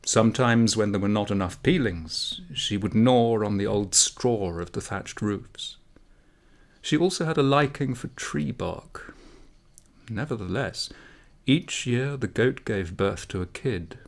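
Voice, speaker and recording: A man reading a comic folk tale aloud as a storyteller, in a measured, expressive way. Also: on the low side, gravelly. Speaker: audiobook reader, storyteller.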